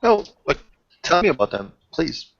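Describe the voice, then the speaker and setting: A man's voice: fairly high pitch, sing-song, normal speed, gravelly. Man in fragmented chat, dull recording. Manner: fragmented, often rephrasing mid-sentence. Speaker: tabletop roleplaying player. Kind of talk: improvised casual roleplay chat.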